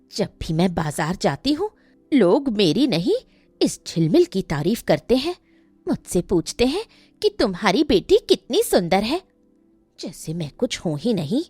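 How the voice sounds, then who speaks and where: A woman's voice: medium pitch, very animated, measured pace, a little mellow, breathy edge, no room sound, faint music behind. A woman voicing a jealous, bitter mother who complains resentfully in a cartoon story.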